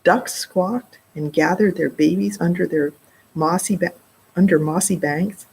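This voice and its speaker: A middle-aged woman reading aloud to children in an expressive way, pausing for casual explanatory asides. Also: fairly low pitch, gravelly, breathy edge, low-quality recording. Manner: expressive, explaining words and asides to young listeners. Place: indoor library children's room.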